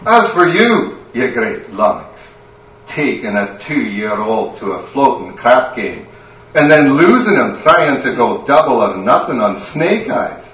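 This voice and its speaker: A man's voice: mid-range voice, a bit bright, echoey room, distant mic, highs cut off. A man voicing a bossy, scolding Scottish grandmother who is a wine god, with a Scottish accent.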